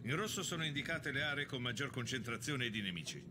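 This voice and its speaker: An actor playing a stern, authoritative wartime army officer giving a briefing in a dubbed video game. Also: male voice, medium pitch, expressive, quick pace, natural voice. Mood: stern, businesslike. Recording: clearly noisy background ambience.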